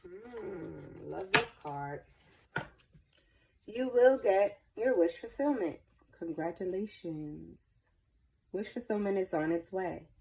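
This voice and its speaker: A woman's voice: mid-range voice, slow pace, vocal fry, noisy background. A congested woman giving a chatty, reassuring tarot reading, stuffy from a cold. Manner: chatty, reassuring, repeats herself. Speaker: tarot reader. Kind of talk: casual spoken tarot reading.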